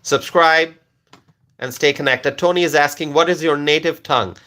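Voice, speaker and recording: A man's voice: a little high, moderate pace, sharp tone. A man from Bangladesh whose first language is Bengali, hosting a live stream where he talks through politics and answers chat questions, repeating points for emphasis and checking in with "okay?". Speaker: livestream political commentator.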